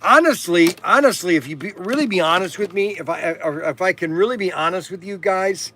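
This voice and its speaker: A man in his sixties hosting a casual, rambling livestream, halting and often repeating himself as he stumbles over words. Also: sits high, pushed and bright, a little hiss or hum. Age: 60s. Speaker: livestream host selling hobby toys and model cars.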